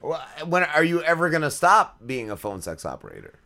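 A man's voice: fairly high pitch, very animated, fairly quick, bright, forceful tone. A man hosting a podcast, cracking teasing jokes in casual chat. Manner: joking, teasing asides. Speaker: podcast host.

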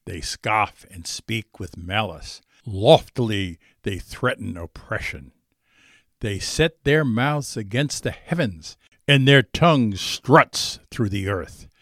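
A man gives an expressive, dramatic reading of scripture. He takes his time with each phrase, and the sound is dry with hardly any room on it. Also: mid-range voice, no echo. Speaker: scripture reader.

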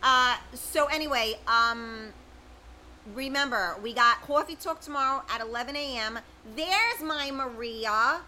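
A woman's voice: high voice, unhurried, sharp tone, a little hiss or hum. Chatty New woman livestreamer. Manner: chatty, informal, talks straight to viewers by name. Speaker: livestream host. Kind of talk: casual livestream chat reading viewer comments.

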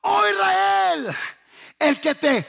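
A man preaching a fervent sermon with a raspy, strained voice, emphatic and repetitive, swinging between hushed lines and shouted exhortation. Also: extremely high pitch, animated, drawn-out words. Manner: emphatic, rhetorical questions, repeating phrases for effect.

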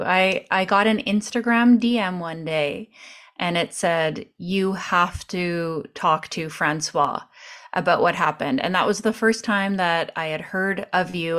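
A woman hosting a podcast interview, asking questions in a friendly way with some fillers. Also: fairly low pitch. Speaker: podcast host.

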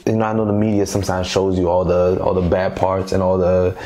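A man's voice: sits low, completely flat delivery, fairly quick, dark tone. A man from Haiti, a native Creole speaker, chatting casually as an interview guest in an easygoing, slangy way, repeating phrases for emphasis. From Haiti, later Boston.

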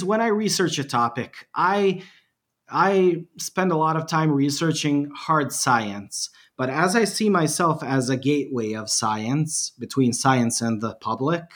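Animated man. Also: mid-range voice. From Bosnia and Herzegovina. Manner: animated, self-mocking, laughs often. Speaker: podcast guest, science podcaster. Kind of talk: casual interview chat.

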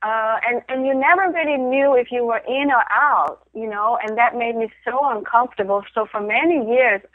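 A woman's voice: on the high side, normal speed, dry recording. A middle-aged woman from Denmark, talking reflectively in a casual interview, laughing often and restarting her sentences. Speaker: interview guest, photographer of women. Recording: tinny phone line.

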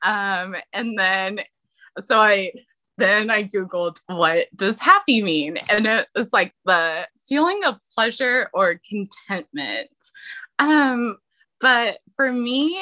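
A young woman with a light Texan accent speaks slowly and thoughtfully as a podcast guest. Her voice is bright and forward, and her talk is earnest and reflective. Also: mid-range voice. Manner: slow, earnest, reflective, with laughs. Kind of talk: casual interview chat.

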